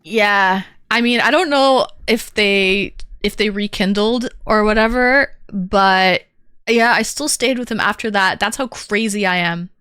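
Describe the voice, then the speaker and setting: A woman's voice: mid-range voice, measured pace, a bit bright, clear, resonant tone, no echo, studio quality. A woman streamer telling a personal story to her chat, candid and rambling with lots of fillers. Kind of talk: casual chat to stream viewers.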